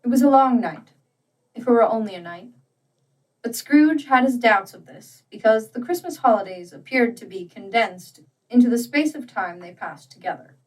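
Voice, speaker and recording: Slow, measured woman reading a classic story. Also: fairly high pitch, darkish tone, far from the mic, low-quality recording. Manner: measured, deliberate phrasing. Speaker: audiobook narrator. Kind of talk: dramatic literary storybook reading.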